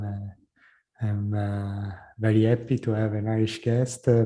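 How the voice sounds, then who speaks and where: Male interview host, hesitant, stop-start questions; low, deep, mellow voice. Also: poor audio. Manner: hesitant, many uhs.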